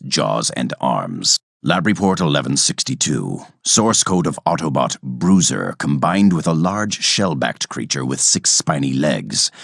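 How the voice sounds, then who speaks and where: Calculating robot scientist villain, extremely low pitch, gravelly, cold, clinical, formal, menacing, video game character. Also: male voice, fairly bright tone, dry recording, clean studio sound, heavily acted.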